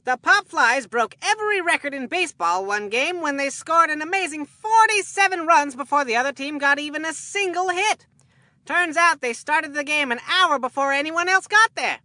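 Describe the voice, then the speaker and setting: A woman's voice: high-pitched, expressive, normal speed, pushed and bright, no room sound. A woman voicing a goofy, food-obsessed kid baseball commentator in a video game, with a folksy, rambling, earnest delivery. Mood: nostalgic, earnest. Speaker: sports commentator. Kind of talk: cartoonish scripted game commentary.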